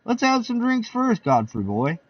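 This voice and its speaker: A man voicing loud, rowdy party guests at a backyard barbecue. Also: very high voice, huge pitch swings, a little slow, no room sound, distant mic, dull audio, pitched above the performer's own voice, heavily acted.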